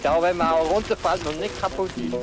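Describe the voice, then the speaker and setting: An older Swabian man talks in a fairly high voice for a man. His delivery is colloquial and halting, with little questioning tags at the ends of phrases. Loud plucked guitar music plays along with him. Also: animated. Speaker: amateur inventor being interviewed. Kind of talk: casual spoken recollection. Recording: archive interview with guitar music over it. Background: prominent plucked guitar music.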